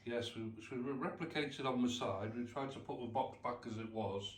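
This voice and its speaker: A man's voice: medium pitch, normal speed, slightly rough. A man with a British accent explaining things in casual, matter-of-fact chat as a vlog co-host.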